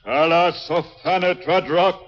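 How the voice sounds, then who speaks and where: An actor playing a fervent cult priest who chants incantations in a 1950s radio drama. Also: male voice, a little high, measured pace, lightly acted. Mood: fervent chanting. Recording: dull, faintly noisy 1950s radio recording.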